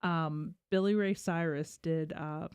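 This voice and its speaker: A woman's voice: deep voice, fairly even intonation, takes it slowly, darkish tone, smooth, no room sound. A woman chatting casually and informally, with a teasing way of talking. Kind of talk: casual chat.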